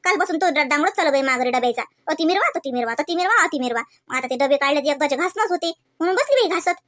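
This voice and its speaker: A woman's voice: high voice, animated, fast, a bit bright, no room sound, clean studio sound. A woman voicing a harried, put-upon village daughter-in-law in a comedy cartoon, gossiping and complaining in a rural Varhadi Marathi accent. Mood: aggrieved venting.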